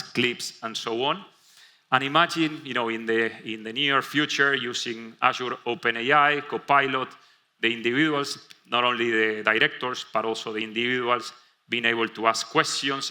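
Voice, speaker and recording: Bright-voiced Argentinian man on stage. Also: medium pitch. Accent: Argentinian Spanish. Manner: many fillers and self-restarts. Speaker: conference presenter. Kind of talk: keynote presentation. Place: conference stage.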